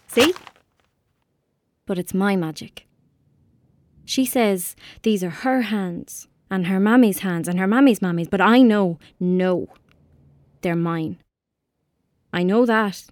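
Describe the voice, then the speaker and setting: A woman's voice: medium pitch, darkish tone, no room sound, close to an everyday voice. A woman with an Irish accent playing a lonely, imaginative young country girl in a naturalistic audio drama, youthful and colloquial. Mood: earnest, defiant. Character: lonely, anxious young girl in rural Ireland, sullen and imaginative.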